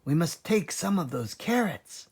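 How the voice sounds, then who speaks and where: A small, eager fox cub from a children's storybook, acted out by a man, high-pitched and earnest, with a thoughtful little boy's manner. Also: very animated, unhurried, slightly rough, exaggerated voice. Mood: earnest, pleading.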